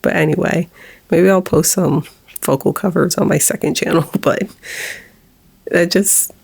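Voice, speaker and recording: Woman, 40s, low monotone gravelly voice; halting, fighting back tears in a confessional vlog. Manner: halting, with nervous laughs. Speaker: vlogger.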